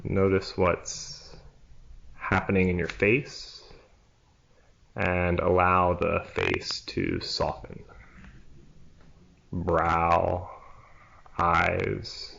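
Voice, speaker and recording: A man leading a guided yoga nidra relaxation as a teacher, in a hushed, soothing manner with long pauses between short phrases. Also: deep voice, vocal fry, slight background noise. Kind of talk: slow guided relaxation meditation. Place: church room, live class. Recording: dull, muffled live recording.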